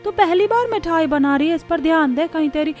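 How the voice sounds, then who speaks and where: Domineering middle-aged mother female voice actor, sharp-tongued scolding in cartoon melodrama, very high pitch. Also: fairly quick, faint music behind. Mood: mocking.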